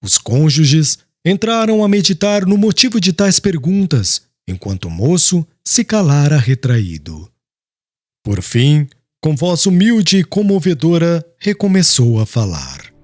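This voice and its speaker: A man narrating an audiobook in a measured, expressive storytelling manner. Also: on the high side, no echo, studio quality.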